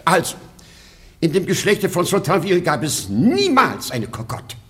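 An actor playing a pompous, boastful and forgetful baron in a radio play of a classic comedy. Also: male voice, high-pitched, wildly swooping pitch, moderate pace. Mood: blustering. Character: pompous, blustering, forgetful aristocratic baron and father-in-law.

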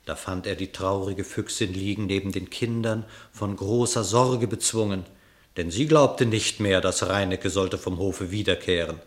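Low-voiced male radio play narrator. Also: steady tone, normal speed. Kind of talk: scripted storytelling narration in verse.

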